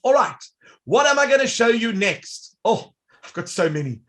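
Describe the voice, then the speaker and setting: A man presenting a live online workshop, demonstrating software in an upbeat, showman-like way that talks directly to the audience. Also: sits high, sing-song, moderate pace.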